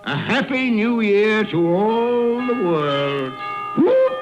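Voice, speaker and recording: An actor playing an elderly reformed miser giddy with joy, in exclamatory, theatrical radio drama style. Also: male voice, sits high, wildly swooping pitch, slow pace, highs cut off, over music, exaggerated voice. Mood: giddy, elated. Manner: exclamatory, chuckling, swooping delivery.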